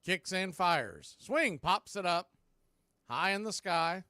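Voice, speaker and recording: A man calling live baseball play-by-play in an excitable, folksy radio style. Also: sits high, slow pace, no echo, studio quality. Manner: excitable, folksy exclamations on big plays.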